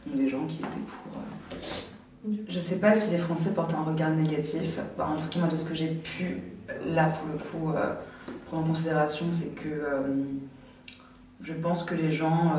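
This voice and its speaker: A young woman from France, an art student, answering interview questions casually and haltingly, often pausing to think. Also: deep voice, fairly even intonation, darkish tone. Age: young adult. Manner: halting, thinking aloud with many pauses. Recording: very echoey, distant from the mic, faint noise.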